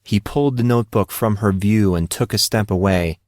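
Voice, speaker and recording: A man's voice: on the low side, moderate pace, a little mellow, dry recording, studio quality. A man narrating a scripted story as an audiobook narrator.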